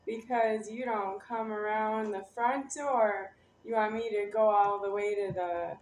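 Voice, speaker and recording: A woman giving a halting, disjointed, rambling monologue, as if addressing someone directly. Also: mid-range voice, darkish tone. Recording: roomy, distant, noisy home recording.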